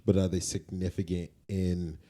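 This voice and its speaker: A man's voice: low-pitched, little pitch movement, moderate pace, warm tone. A man co-hosting a podcast, talking conversationally in an opinionated, explanatory way and often checking in with the listener. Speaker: podcast co-host.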